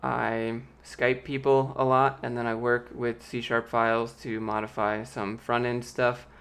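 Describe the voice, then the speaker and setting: A man in his late twenties with a light, youthful voice that sometimes squeaks, casually chatting and answering viewer questions on a live stream from a small home office into a close studio microphone. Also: measured pace, clear, resonant tone. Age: late 20s. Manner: relaxed, rambling, reads viewer questions aloud. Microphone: large studio mic with pop filter, close.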